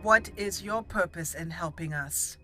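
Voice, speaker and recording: A woman's voice: on the low side, unhurried, pushed and bright, soft background music. A woman roleplaying a proud young elf mage noble who speaks formally. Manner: formal, stately phrasing.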